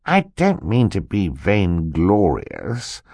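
A man voicing an animated, self-important older uncle, a jovial scientist, in an audiobook. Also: low-pitched, takes it slowly, creaky, no room sound, studio quality, exaggerated voice. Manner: animated, emphatic, theatrical. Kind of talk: audiobook character voice.

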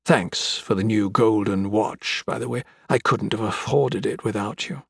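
Guy doing a creepy polite old villain for an audiobook, taunting and flowery, husky, dry close sound. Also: fairly low pitch, unhurried, dry recording, full character voice. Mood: mocking menace. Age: older. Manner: florid, mock-courteous, taunting. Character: menacing, theatrical old gentleman crime boss, mocking and sentimental. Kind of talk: dramatic audiobook character acting.

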